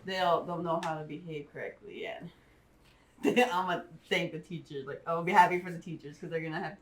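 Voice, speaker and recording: A speaker with a bright, clear tone, a former teacher taking part in a relaxed conversation. They listen closely and answer with warm approval. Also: medium pitch, fairly quick, slight background noise. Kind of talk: casual chat.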